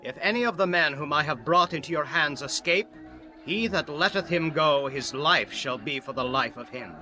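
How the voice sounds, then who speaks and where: A ruthless, imperious warrior king acted by a man for a dramatized scripture audiobook. The voice is fairly high and very forceful, declaiming commands over faint music. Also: animated, normal speed. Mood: imperious, menacing.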